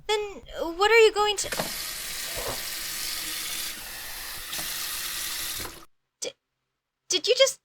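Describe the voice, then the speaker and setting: Girl voice actor doing a curious young elf mage lady, high bright voice, kinda haughty, comedy audio roleplay. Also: a little hiss or hum, exaggerated voice. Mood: baffled, startled. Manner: questioning, slightly haughty, halting. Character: curious outspoken young female elf mage, stranded in human world.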